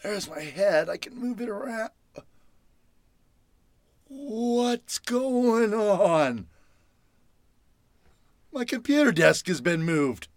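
Lady acting a scared woman in a radio horror play, real animated, dry close sound, stammery and dramatic. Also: mid-range voice, drawn-out words, long pauses, no room sound, exaggerated voice. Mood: confused, uneasy. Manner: stammering, breathless exclamations, dramatic. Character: frightened, groggy woman waking up trapped in her bedroom.

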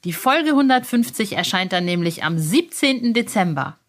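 A woman's voice: mid-range voice, big pitch swings, normal speed. A woman hosting a podcast in a chatty, informal and enthusiastic way, speaking directly to listeners. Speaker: podcast host.

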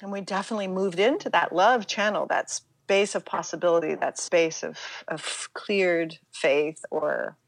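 A middle-aged American woman speaking reflectively as an interview guest, halting and emphatic, often acting out her own inner dialogue. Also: lowish voice, gravelly, no echo. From United States, Pittsburgh, Pennsylvania.

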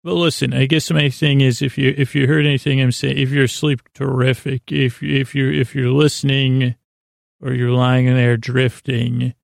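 A man hosting a sleep podcast, rambling gently with many fillers and restarts. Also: medium pitch, level tone, normal speed, creaky, no room sound, studio quality. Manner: soft and gentle. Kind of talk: rambling bedtime podcast intro.

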